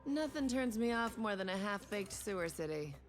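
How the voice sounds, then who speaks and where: Actress playing brash space gunslinger woman, dry taunts, animated pitch, game voice line, light music. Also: normal speed, lightly acted. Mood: dismissive. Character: brash, sardonic female space bounty hunter out for revenge. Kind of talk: video game character voice lines.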